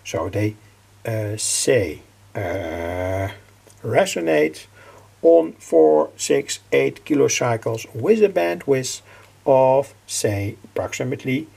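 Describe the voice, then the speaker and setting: An older man giving an informal technical explanation as a hobbyist presenter, halting and repeating his phrases. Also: mid-range voice, animated, a little mellow. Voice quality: gravelly, husky.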